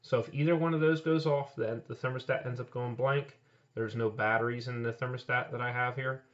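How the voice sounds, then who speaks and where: Male HVAC tech host, mid-pitched, plain how-to delivery, dull point-of-view camera audio. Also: fairly even intonation, fairly quick. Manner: plain, explanatory, pointing things out. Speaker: HVAC technician and channel host. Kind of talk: hands-on instructional walkthrough. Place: indoor utility closet, later outdoors by a heat pump. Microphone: camera-mounted mic, speaker filming himself point-of-view.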